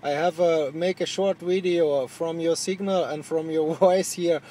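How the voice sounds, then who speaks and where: A man from Germany with a German accent, chatting as a radio operator in halting, repetitive English, searching for words. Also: on the high side, steady tone, mellow. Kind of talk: casual radio contact chat.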